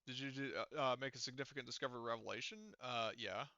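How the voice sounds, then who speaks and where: Bright, clear-voiced man as game master. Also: mid-range voice, steady tone, fast, no echo, dull audio. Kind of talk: explaining tabletop game rules casually.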